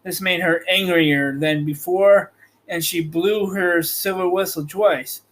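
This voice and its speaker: A man narrating a storybook aloud as host, in a measured, slightly halting read. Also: sits high, steady tone, fairly bright tone. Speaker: host reading an audiobook chapter.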